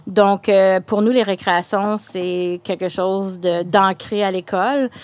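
A woman, a school principal answering interview questions in a measured, polite way. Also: medium pitch, fairly even intonation, clear, resonant tone, dry recording. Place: inside a parked truck.

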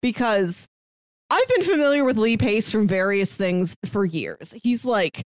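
A woman's voice: mid-range voice, animated, a little slow, dry recording, studio quality. A woman co-hosting a podcast, talking in a chatty, enthusiastic way in a casual review conversation. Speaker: podcast co-host.

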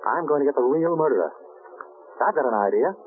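A man's voice: a little high, lively intonation, quick pace, natural voice. A man playing a suave amateur detective in 1940s radio drama, urbane, breezy and dryly playful. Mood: wry, confident. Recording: noisy, narrow-band vintage radio recording.

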